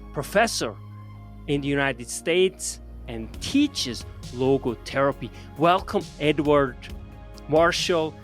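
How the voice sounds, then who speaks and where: A man hosting an interview show, reading a guest introduction and asking questions. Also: on the high side. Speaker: podcast host.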